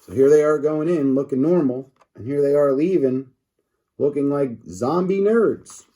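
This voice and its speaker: A man's voice: on the high side, unhurried, dark tone. A man reading a children's story aloud expressively, with short asides to his listeners. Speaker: storybook reader. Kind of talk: animated children's read-aloud narration.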